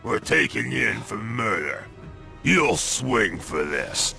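Menacing, very gravelly constable. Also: male voice, fairly low pitch, very animated, sharp tone, faint music behind, exaggerated voice. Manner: slow, threatening. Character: menacing small-town male constable making an arrest. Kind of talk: video game cutscene acting.

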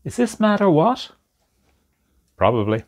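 A man giving unscripted hands-on narration at a workbench, explaining things step by step in a measured way. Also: on the high side, big pitch swings, a little mellow. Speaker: hobbyist presenter of a radio restoration. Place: indoor workshop at a workbench.

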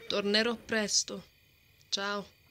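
A woman's voice: medium pitch, little pitch movement, takes it slowly, sharp tone. Woman acting hot-tempered knight woman, noisy ambience. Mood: urging, bossy. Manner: muttering to herself, often breathy, threatening. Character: brave, hot-tempered, bossy female knight on a rescue. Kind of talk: ASMR roleplay, acted monologue. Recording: noticeable outdoor ambience effects behind.

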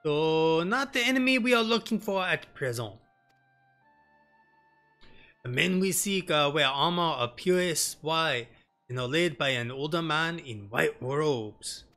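A man's voice: fairly high pitch, expressive, a little slow, pushed and bright, faint music behind, exaggerated voice. A man voicing an earnest, courteous young elf nobleman with polite, formal speech in a fantasy game. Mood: explanatory.

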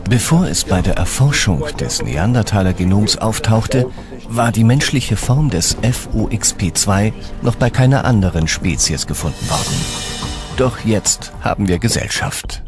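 A man's voice: fairly low pitch, animated, moderate pace, music in the background. A man reading scripted documentary narration.

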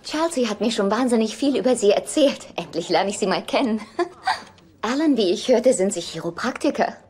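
Actress as chatty higher-voiced blind date. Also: female voice, lively intonation, moderate pace, a little mellow, lightly acted. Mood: eager, chatty. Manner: chatty, forward. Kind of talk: German-dubbed sitcom acting. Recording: noisy TV soundtrack rip.

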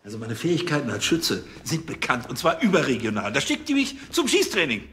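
A man's voice: medium pitch, wildly swooping pitch, a little fast, sharp tone, breathy edge, heavily acted. An actor playing a self-important, flustered middle-aged policeman in a crime comedy, stammering and fussy. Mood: indignant.

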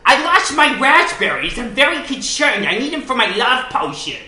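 A man's voice: very high-pitched, big pitch swings, normal speed, pushed and bright, pitched above the performer's own voice, exaggerated voice. A man voicing a dotty, anxious woman making a prank phone call. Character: dotty, anxious caller named Carol, a woman. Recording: roomy living room recording.

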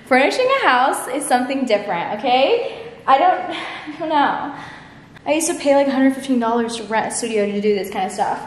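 A woman's voice: high-pitched, expressive, a little fast. A woman in her early twenties chatting casually to camera in an informal, filler-heavy way, as a lifestyle vlogger. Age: early 20s. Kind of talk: casual vlog chat to camera. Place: large, mostly empty room in a house. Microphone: camera at arm's length or on tripod. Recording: echoey bare room with faint noise.

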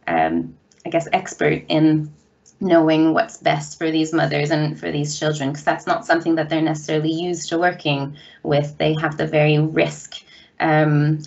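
A woman's voice: low voice, creaky. A woman social worker answers a panel question thoughtfully, with hesitant restarts. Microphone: video call. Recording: muffled video call audio.

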